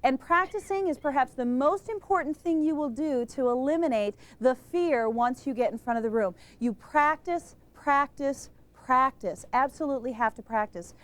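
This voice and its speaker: High-voiced woman teaching public speaking. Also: normal speed, few pauses. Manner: energetic, emphatic, asks questions of the audience. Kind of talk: instructional talk to a live group. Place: room with a group present.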